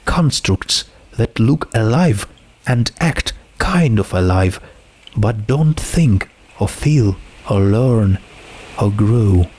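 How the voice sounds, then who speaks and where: A man's voice: fairly low pitch, animated, slow pace, darkish tone. A man voicing an earnest, troubled teenage girl with a hesitant, searching manner in a story reading. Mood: pleading, uneasy. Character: troubled, earnest teenage girl doubting her world is real. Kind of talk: fan fiction character reading.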